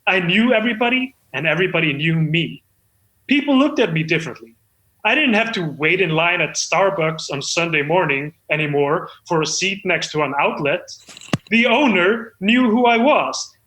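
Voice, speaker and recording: A man's voice: high-pitched, sharp tone. A man playing a deluded, eager amateur screenwriter who hosts a comedy show in an effusive, self-important manner. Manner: effusive, self-important, stumbling when off script. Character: deluded, eager amateur screenwriter hosting his own reading. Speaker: host. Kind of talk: comedic monologue and mock talk-show hosting. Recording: roomy echo.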